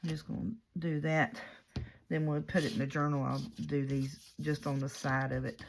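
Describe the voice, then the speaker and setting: Hoarse, gravelly woman. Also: sits low, level tone, moderate pace, slight background noise. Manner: soft-spoken and rambling. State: hoarse from a cold. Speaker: craft vlogger. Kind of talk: casual crafting chat.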